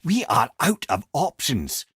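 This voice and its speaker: An old Scottish sage who spins myths with wry wit and eloquence. His pitch is mid-range but swings widely and expressively. The sound is dry and close, like a studio game recording. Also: wildly swooping pitch, measured pace, a bit bright, breathy edge, no echo, exaggerated voice. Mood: earnest. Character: witty old severed talking head, worldly storyteller sage. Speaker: companion and storyteller. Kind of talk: video game voice acting, storytelling.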